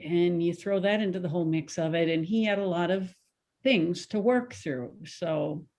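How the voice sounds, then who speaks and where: A woman explaining her creative intent as a playwright, haltingly searching for words. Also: on the low side, darkish tone. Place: virtual video call.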